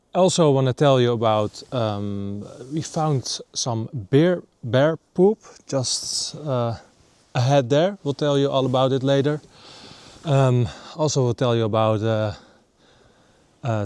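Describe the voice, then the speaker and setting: A man in his thirties from the Netherlands, a native Dutch speaker, talking casually to camera in a halting way with many fillers. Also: medium pitch, a little mellow, clean tone, no room sound. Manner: halting, many ums and uhs. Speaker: nature vlogger. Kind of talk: casual vlog talk to camera. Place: outdoors in northern Sweden.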